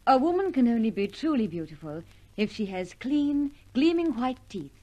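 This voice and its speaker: Female voice for a vintage radio commercial, fairly high, very animated intonation. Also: moderate pace. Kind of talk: 1950s radio advertising.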